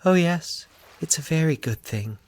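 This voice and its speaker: A man voicing a childlike, lonely woman with a dreamy, wistful and excitable manner in a scripted horror story. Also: on the high side, unhurried, pitched above the performer's own voice. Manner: breathless, wistful, insistent.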